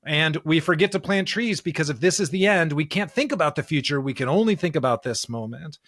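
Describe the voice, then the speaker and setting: A man's voice: fairly high pitch, lively intonation, brisk, a bit bright, dry recording. Earnest male podcast host, close dry sound. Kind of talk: conversational scripture discussion.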